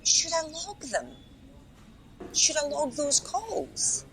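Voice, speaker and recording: Prim, apologetic female phone volunteer, high voice, hugely varied intonation, background noise. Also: sing-song, slow pace, fairly bright tone, heavily acted. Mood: gossipy. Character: polite, hesitant, nosy female helpline volunteer. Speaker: helpline phone operator. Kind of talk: radio play acting.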